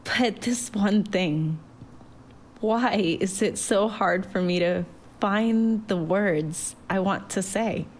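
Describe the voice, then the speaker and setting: A woman voicing a thoughtful, anxious daughter, quiet and hesitant, in a narrated story. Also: a little high, sing-song, slow pace, full character voice.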